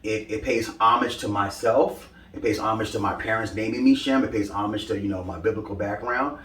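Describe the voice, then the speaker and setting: A man's voice: lowish voice, fairly even intonation, a little fast, a bit bright. A young man from Brooklyn, answering interview questions about his style brand in an upbeat, rambling way with lots of "you know.". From Brooklyn, New York. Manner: upbeat, talks in loose run-ons with many "you know" fillers. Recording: echoey room, mic at a distance.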